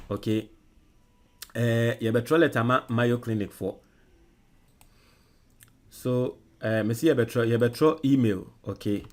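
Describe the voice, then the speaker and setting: This is a Ghanaian man with a Ghanaian English accent who hosts a political show on social media. His voice sits at a middling pitch. He reads comments with loud emphasis and switches to halting, quiet dictation as he types an email.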